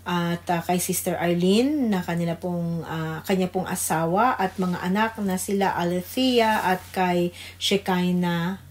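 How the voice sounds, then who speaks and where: A woman's voice: fairly low pitch, a little slow. A woman with a Filipino accent co-hosting a devotional radio program in a reverent, conversational way. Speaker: radio devotional co-host, pastor's wife. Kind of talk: informal religious radio greetings and prayer.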